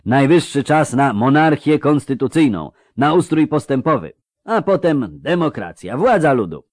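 A man's voice: a little high, sing-song, normal speed, a little mellow, no room sound, pitched above the performer's own voice. A man voicing an earnest progressive conspirator with a congested voice, in an audiobook. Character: sneezing progressive conspirator advocating democracy.

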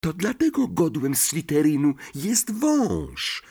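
A man voicing an earnest, solemn schoolgirl wizard in an audiobook, explaining carefully in a hushed way. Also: a little high, huge pitch swings, slow, gravelly, breathy edge, voice pushed up, exaggerated voice. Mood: hushed, grave. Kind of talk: audiobook character acting.

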